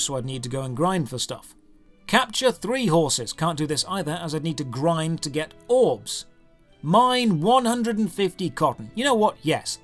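A man's voice: fairly high pitch, fairly bright tone. A British man narrating a scripted video game review in a sarcastic, exasperated manner. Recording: music under the voice. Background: background music.

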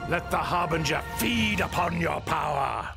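An actor playing a menacing, grandiose dark sorcerer lord in a video game, commanding and imperious. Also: male voice, fairly high pitch, expressive, unhurried, gravelly. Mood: scornful. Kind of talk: video game villain acting. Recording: loud music behind voice.

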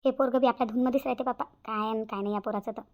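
A woman's voice: on the high side, steady tone, racing, muffled recording, slight background noise, lightly acted. A woman voicing a fussy, nagging middle-aged village mother in a cartoon, repeating herself in a rural Maharashtrian accent. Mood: exasperated. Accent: rural Maharashtrian Marathi. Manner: nagging, repetitive. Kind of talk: cartoon comedy dialogue.

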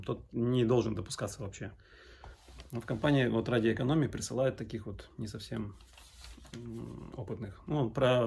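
A man's voice: mid-range voice, vocal fry, darkish tone, slight background noise. A man in his thirties from Arkhangelsk in northern Russia, answering questions in a casual vlog with hesitant, broken phrasing. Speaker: sailor vlogger. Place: small indoor room at home.

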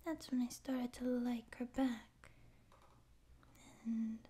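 A young woman acting a naive, pouty stray catgirl in a soft, halting ASMR roleplay voice. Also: fairly high pitch, flat delivery. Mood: plaintive, wistful. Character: naive, tearful stray catgirl would-be space pirate. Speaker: ASMR voice actor. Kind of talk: ASMR roleplay audio drama.

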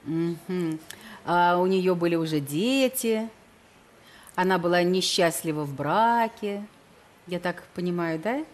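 A woman's voice: fairly low pitch, slow. Ironic, bantering middle-aged woman host. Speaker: TV dating show host. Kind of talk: teasing talk-show hosting. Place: large television studio.

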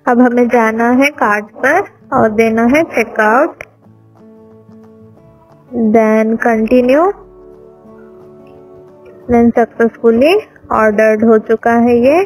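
A woman's voice: fairly high pitch, fairly even intonation, slow, long pauses, soft background music, poor audio. A woman giving a step-by-step voice-over walkthrough of a phone app, speaking to viewers as friends. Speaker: app tutorial presenter. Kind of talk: screen-recorded app walkthrough. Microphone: voice-over over screen recording.

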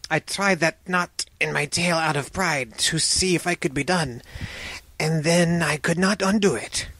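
A man voicing a tall, proud serpent-man in a storybook, soft-spoken, courteous and wry. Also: on the high side, measured pace, exaggerated voice. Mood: wry, grateful.

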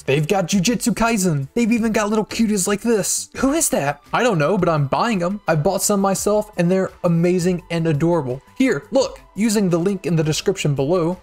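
An excited man reads a sponsor spot in a playful, gushing style. His voice sits high and is pushed up with enthusiasm. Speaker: channel host reading sponsor spot. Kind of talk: upbeat scripted ad read.

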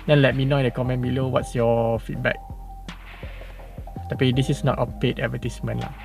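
Brief: relaxed Malaysian vlogger, forties, mid-pitched, talking from a car with soft music underneath. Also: male voice, a little fast, creaky. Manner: relaxed, chatty, tags sentences with "lah" and "kan". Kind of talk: casual talk to camera, mixing English and Malay. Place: parked car, indoors.

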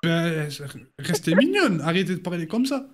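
A young man streaming from his home gaming setup, teasing strangers on a video chat, deliberately mishearing them and acting offended. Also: high voice, very animated. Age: young adult. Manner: plays dumb, mishears on purpose, mock-offended.

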